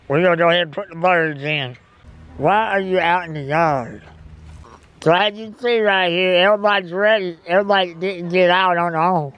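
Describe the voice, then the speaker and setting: A man's voice: sits high, fairly bright tone, clean tone, lo-fi sound. A man, chatting casually while doing chores at an outdoor chicken coop, easygoing and folksy. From United States. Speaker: homesteader vlogger. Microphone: camera on wheelchair and handheld selfie. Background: faint birds and outdoor ambience.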